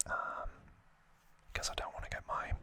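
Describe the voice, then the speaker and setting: Man whispering ASMR, sharp and breathy. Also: moderate pace. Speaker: ASMR video host. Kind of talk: ASMR, unscripted unboxing commentary.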